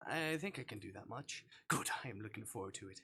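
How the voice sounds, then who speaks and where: A streamer reading aloud a tender, clingy visual novel love interest, soft-spoken and intimate. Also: medium pitch, expressive, fairly quick, vocal fry, breathy edge, pitched below the performer's own voice, exaggerated voice. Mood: tender, longing.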